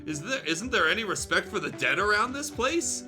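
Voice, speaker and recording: A man's voice: high voice, sing-song, moderate pace, music in the background, pitched above the performer's own voice. A man voicing a troubled young female dinosaur, earnest and pleading, in a fan fiction reading. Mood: distressed pleading.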